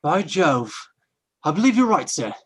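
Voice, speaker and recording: A man's voice: fairly high pitch, sing-song, unhurried, a bit bright, husky, exaggerated voice. An actor playing a polite, earnest, uneasy young man in an old-time radio horror drama, deferential in manner. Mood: earnest agreement.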